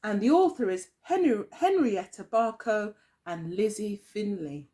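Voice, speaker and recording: A woman's voice: mid-range voice, lively intonation, unhurried, mellow. A woman teacher telling a story expressively to young children, phrasing carefully and putting questions to them. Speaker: early years teacher, storyteller.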